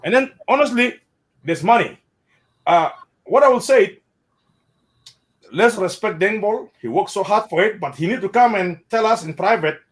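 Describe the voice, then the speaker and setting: South sudanese guy, high voice that jumps around a lot, repeats himself, casual chat. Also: moderate pace, long pauses. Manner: animated, repeats words, mixes in Arabic phrases. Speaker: interviewee. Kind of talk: casual spoken commentary.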